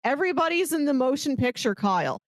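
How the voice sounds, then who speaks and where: A woman's voice: high-pitched, big pitch swings, fast, no room sound. A woman co-hosting a podcast, chatting casually about movies. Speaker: podcast co-host. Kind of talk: casual movie discussion with some read-aloud synopsis.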